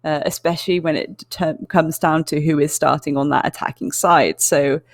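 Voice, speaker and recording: A woman casting an esports match, giving measured, chatty analysis with frequent fillers. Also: deep voice, fairly even intonation, no echo, clean studio sound. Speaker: esports caster.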